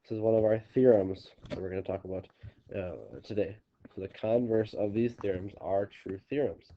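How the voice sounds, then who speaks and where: Stuffy-nosed male teacher, lowish voice, halting explanations, dull home recording. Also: a little hiss or hum. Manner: halting, restarting explanations with many ums. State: congested, stuffy nose. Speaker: geometry teacher. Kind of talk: recorded classroom video lesson.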